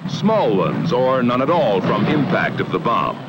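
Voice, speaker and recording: An American man narrating a 1960 educational film in a formal, measured, authoritative way, on a vintage film soundtrack. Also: mid-range voice, very animated, very noisy. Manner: formal, measured, authoritative delivery with emphatic phrasing.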